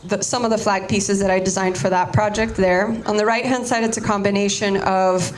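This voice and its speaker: A woman's voice: medium pitch, steady tone, brisk, slight background noise. A Cuban-American woman whose first language was Spanish, giving a casual artist talk and often restarting her sentences. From United States, Cuban-American family.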